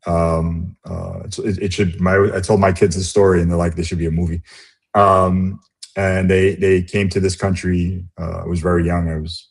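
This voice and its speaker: A middle-aged man, born in Romania and raised in the US, speaking as an interview guest in a reflective, halting way with many restarts. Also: sits low, flat delivery, gravelly, breathy edge. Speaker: guest, business executive. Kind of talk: casual interview conversation.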